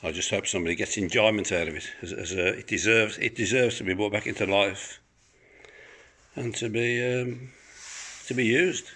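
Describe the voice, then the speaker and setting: A man's voice: fairly low pitch, steady tone, moderate pace, fairly bright tone. A man giving a casual, unscripted demonstration of an item he is selling, rambling and hesitant, recorded on a handheld phone in a living room. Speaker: private seller showing an item for sale. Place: living room of a home apartment, indoors. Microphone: handheld phone held by the speaker behind the camera.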